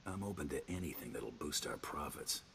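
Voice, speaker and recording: Very deep, terse bounty hunter. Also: male voice, moderate pace, a little hiss or hum, exaggerated voice. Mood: businesslike. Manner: terse, deadpan. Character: terse, businesslike male bounty hunter, dry and guarded. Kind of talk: video game dialogue.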